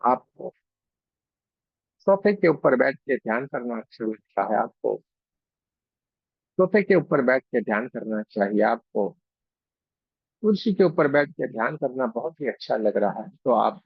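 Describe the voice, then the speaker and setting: Male meditation teacher, very slow and gentle, gravelly fry in the voice, muffled recording. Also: mid-range voice, expressive, dry recording. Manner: gentle, unhurried, devotional, phrase by phrase. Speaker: meditation guide and Reiki teacher. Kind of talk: guided meditation, slow spoken instruction with pauses.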